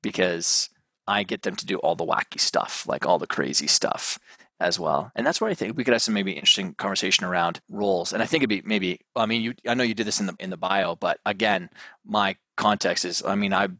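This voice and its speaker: Man, 30s, deep and forceful, dry close sound, rambling guest answers. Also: brisk, no room sound. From Canada. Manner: rambling, thinks aloud with restarts. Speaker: guest, mental performance coach. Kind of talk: casual interview chat.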